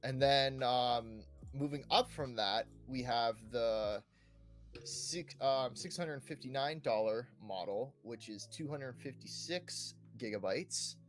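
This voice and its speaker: A man's voice: medium pitch, slow, soft background music. A man hosting a casual gaming podcast, often ending his statements with little checking tag questions. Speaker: podcast host.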